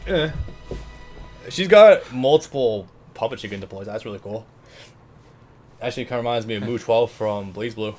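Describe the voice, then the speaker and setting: A man's voice: mid-range voice, moderate pace, long pauses, a little mellow, soft background music. A man hosting a let's play, chatting casually as he comments on a video game. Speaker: host of a gaming let's play. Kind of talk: casual gameplay commentary chat.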